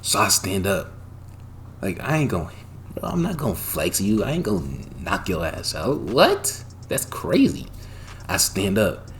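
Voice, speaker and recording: A young man casually telling a personal story, animated and profane, with loud bursts and lots of slang. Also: lowish voice, measured pace, slight background noise. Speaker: storytime vlogger.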